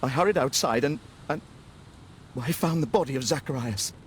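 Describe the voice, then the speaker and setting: An actor playing an earnest, upper-class Victorian club gentleman in a video game, British, hesitant and formal. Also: medium pitch, animated, a little fast, a little hiss or hum, lightly acted. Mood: shaken, insistent. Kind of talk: scripted video game acting.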